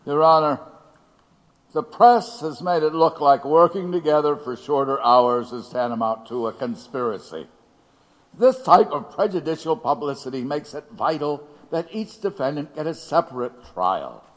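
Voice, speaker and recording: An actor playing an earnest, insistent defense attorney in a courtroom audio drama, formal and argumentative. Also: male voice, fairly high pitch, lively intonation, moderate pace, close to an everyday voice. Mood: formal, pleading. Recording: long hall reverb.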